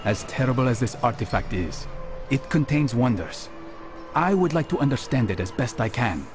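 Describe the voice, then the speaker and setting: Game role: male actor as brooding young assassin, measured, resolute, mid-pitched, faint music underneath. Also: big pitch swings, close to an everyday voice. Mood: reflective. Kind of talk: scripted video game cutscene acting.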